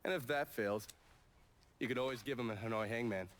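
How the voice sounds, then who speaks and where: An actor playing a world-weary, wisecracking government agent in a video game, with an American accent and a dry, profane delivery. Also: male voice, mid-range voice, fairly quick, a bit bright, a little hiss or hum, lightly acted. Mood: sardonic. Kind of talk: dramatic video game cutscene acting.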